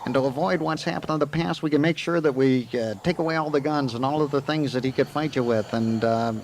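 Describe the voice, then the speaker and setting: A man's voice: on the high side, fast, close to an everyday voice. A man playing a plain-spoken, folksy Old West doctor in a Western radio drama. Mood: reassuring. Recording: noisy, hissy 1980s amateur tape.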